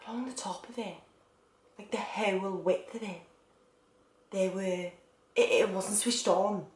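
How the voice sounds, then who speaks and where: A woman's voice: on the low side, a little mellow, slight background noise. An actress playing a lonely, wistful woman confiding in an absent loved one, in a stage monologue broken into short phrases. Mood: hushed wonder. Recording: echoey room.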